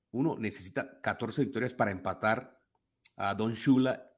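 A man with a Colombian Spanish accent co-hosting a sports podcast, talking animatedly and restarting his sentences often. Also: on the low side. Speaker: podcast co-host. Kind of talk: casual sports talk.

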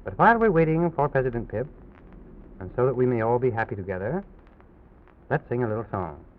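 A man's voice: medium pitch, lively intonation, brisk, slight background noise. Thin, band-limited old radio man's voice. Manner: genial, addressing young listeners. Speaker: children's radio show host and singer. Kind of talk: old-time radio storytelling for children. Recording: phone-like old radio recording with music.

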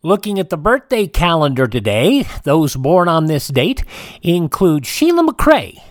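A radio host reading this-day-in-history items in an informal scripted style, halting at times and correcting small stumbles. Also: medium pitch, very animated, dry recording, studio quality.

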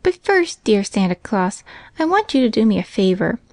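Lady doing a sweet trusting little girl from a storybook, high voice, earnest n coaxing. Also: big pitch swings, normal speed, darkish tone, no echo. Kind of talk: storybook character voice.

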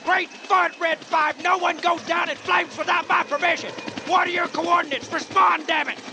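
A very high-pitched, gravelly army sergeant bullies and insults his troops in a war audio drama. He barks every order as a command, over clearly noisy vehicle ambience. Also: steady tone, moderate pace. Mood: urgent. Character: bellowing, foul-mouthed army sergeant who bullies his men. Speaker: actor in an audio horror drama.

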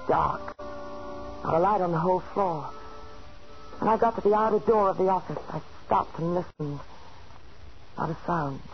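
An actress playing a lonely, desperate unfaithful wife in a halting, pleading way, in a 1940s radio thriller. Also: female voice, lowish voice, natural voice. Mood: bleak, reflective. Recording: muffled, noisy 1940s radio recording.

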